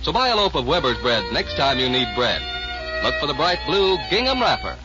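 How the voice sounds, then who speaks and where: A folksy man reading a chatty 1940s radio commercial. His voice is slightly high and rises and falls with lots of animation. The old recording is dull, with music behind him. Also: very animated, brisk. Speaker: radio announcer.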